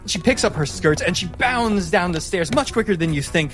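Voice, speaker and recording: A man narrating a tabletop fantasy game as game master, in a measured, descriptive storytelling manner. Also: sits high, expressive, over music.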